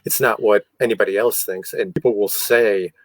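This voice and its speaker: A man's voice: on the low side, steady tone, normal speed, no room sound. A man co-hosting a casual podcast, talking his point through plainly. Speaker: podcast co-host, DJ. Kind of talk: casual chat.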